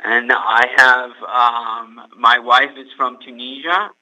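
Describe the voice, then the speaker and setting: A man's voice: mid-range voice, steady tone, slow, pushed and bright, low-quality recording. A young man from California, a guest on a podcast, who talks hesitantly and repeats words. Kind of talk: casual podcast interview.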